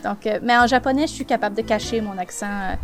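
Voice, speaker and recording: A woman's voice: medium pitch, fast talker. Hesitant Québécois woman in her thirties. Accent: Québécois French. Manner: hesitant, lots of fillers and restarts. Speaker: guest singer and voice actor. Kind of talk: casual interview chat.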